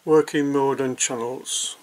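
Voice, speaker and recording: A man giving casual, hesitant unboxing commentary in short pieces with long pauses. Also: mid-range voice, steady tone, slight background noise, rough recording. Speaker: hobbyist product reviewer.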